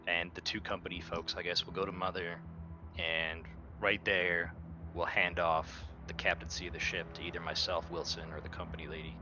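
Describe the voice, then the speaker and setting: A man playing a tabletop roleplaying game, talking casually in character with the group. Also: low voice.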